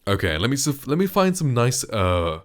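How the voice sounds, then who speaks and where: A man with a deep, dark voice, a voice actor chatting casually while reviewing a studio microphone he speaks into up close. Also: moderate pace. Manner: relaxed and chatty, lots of loose filler words. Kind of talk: casual gear review with voice demos. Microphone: close studio condenser microphone.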